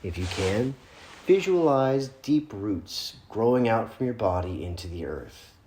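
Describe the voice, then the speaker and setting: A man leading a guided meditation, giving gentle, unhurried instructions in short phrases with pauses between them. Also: fairly low pitch, big pitch swings. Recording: roomy, echoing room sound.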